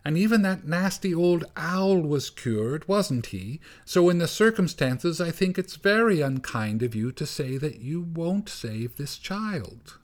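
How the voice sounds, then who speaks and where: Storybook mother field mouse, put on by a male reader: high, wavering, anxious and polite. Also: expressive, a little slow, hardly any pauses. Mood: pleading. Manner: pleading, fretful, high and wavering.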